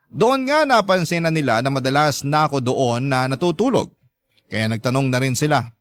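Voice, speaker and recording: A man reading a scripted first-person love story as narrator, in an expressive, sentimental way. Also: medium pitch, no echo, clean studio sound.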